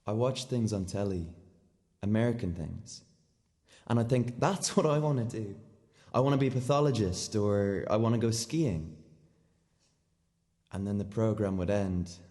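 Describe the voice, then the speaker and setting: A male actor as an awkward, muddled man delivering a radio play monologue in a very low voice. He confesses earnestly, haltingly correcting himself, inside a long reverberant space. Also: mellow, natural voice. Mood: uneasy, confessional. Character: rambling, confused, socially awkward man confessing odd thoughts.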